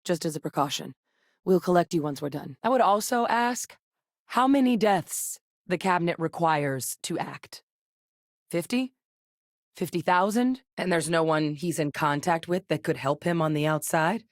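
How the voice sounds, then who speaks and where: An actress plays an earnest woman scientist from a sci-fi game, with a fairly low voice and measured, articulate delivery. She sounds serious and duty-minded, recorded dry and close with no room sound. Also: dry recording, natural voice. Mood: indignant, then calm, instructive. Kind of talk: scripted game character dialogue.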